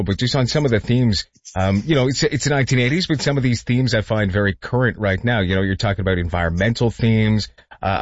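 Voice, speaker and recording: A man interviewing a guest, talking quickly and asking long questions padded with hedges. His voice is recorded dry and close, with dull, lo-fi sound. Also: mid-range voice, dry recording. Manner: rambling, hedging questions.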